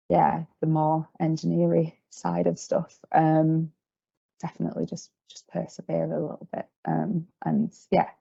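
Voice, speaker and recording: A woman in her twenties answers careers questions on a video call in a low, gravelly voice. She speaks in a flat, mellow tone, hedging with frequent ums and restarts. The call audio sounds muffled and dull. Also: no room sound. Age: 20s. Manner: hesitant, lots of ums and restarts. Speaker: field service trainee.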